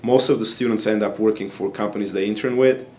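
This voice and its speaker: A young man from Bulgaria, a graduate student speaking English as a second language, gives interview answers in a somewhat low voice. He explains earnestly and often pauses on fillers. He is recorded close to the camera in a small, plain office. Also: fairly even intonation, darkish tone.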